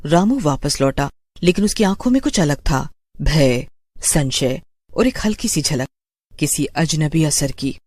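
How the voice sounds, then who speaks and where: A narrator telling a scripted horror tale. The reading is slow and sits at a middling pitch. It is recorded dry, with no room around it. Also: lively intonation, darkish tone, no echo.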